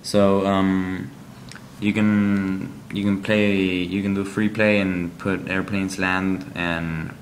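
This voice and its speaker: A man reviewing a game app, walking through its screens in a halting, explanatory way with frequent ums. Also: low voice, level tone, slight background noise.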